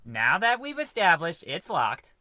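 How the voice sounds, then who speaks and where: An actor playing a put-upon, fussy science officer who defers and protests, in a scripted radio comedy. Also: male voice, fairly high pitch, wildly swooping pitch, measured pace, sharp tone, exaggerated voice. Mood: exasperated. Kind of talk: scripted old-time radio comedy acting.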